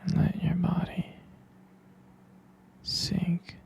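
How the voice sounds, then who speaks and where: A man murmurs a bedtime boyfriend roleplay in a very husky, breathy whisper full of heavy creak. His delivery is tender, halting and soothing, with a flat, even melody, close to the microphone. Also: sits high, dull audio. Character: tender, protective boyfriend lulling partner to sleep. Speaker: ASMR roleplay performer.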